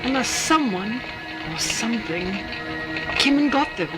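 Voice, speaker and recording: A woman playing a cheeky, impulsive young space traveller in a science-fiction audio drama, flippant and excitable in manner. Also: fairly high pitch, unhurried, poor audio. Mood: spooked. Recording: music bed under the voice.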